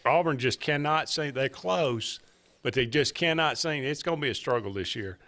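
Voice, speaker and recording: A man hosting a casual sports talk show, laid-back and hesitant, often pausing on "uh". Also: medium pitch, fairly bright tone.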